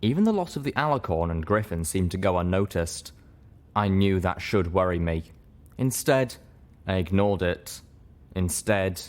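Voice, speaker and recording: A man narrating a first-person story in a dramatic audiobook style. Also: low voice.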